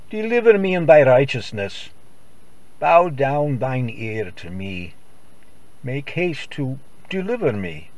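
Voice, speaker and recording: A man's voice: medium pitch, no room sound. A man preacher reciting a psalm in a solemn, declamatory old-time gospel radio style. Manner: solemn, declamatory, archaic scriptural delivery.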